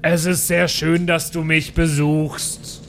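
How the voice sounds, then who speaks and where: A man voicing a needy imprisoned dwarf with a drawn-out, plaintive manner in an improvised role-play. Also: a little high, little pitch movement, a little hiss or hum. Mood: delighted. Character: needy, slow-talking imprisoned male dwarf, claiming brotherhood.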